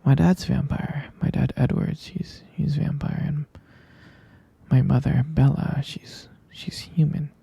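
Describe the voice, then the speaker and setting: A man playing a gentle, reassuring young vampire boyfriend in an intimate sleep-aid ASMR roleplay, murmuring tenderly and hesitantly in a breathy, husky whisper. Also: a little high, fairly even intonation, slow pace, dry recording, full character voice.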